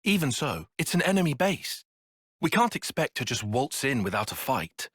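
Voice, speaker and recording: Game role: analytical young male soldier, crisp forceful tone, animated, serious. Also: medium pitch, moderate pace, dry recording, clean studio sound. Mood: wary. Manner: precise, serious. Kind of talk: video game cutscene voice acting.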